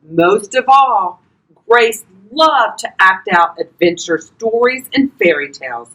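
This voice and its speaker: An older woman reading a children's storybook aloud expressively, talking directly to young listeners in a husky voice. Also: mid-range voice, animated, takes it slowly, a bit bright, rough recording. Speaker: volunteer grandparent reading to children.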